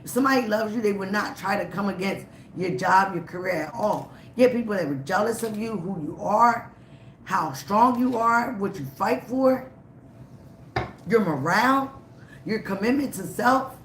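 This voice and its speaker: A tarot reader giving a live reading, emphatic and repetitive, slightly raspy from a cold. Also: mid-range voice, lively intonation, normal speed, fairly bright tone, roomy sound, a little hiss or hum. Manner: emphatic, repeats phrases, frequent "okay?" and "all right?".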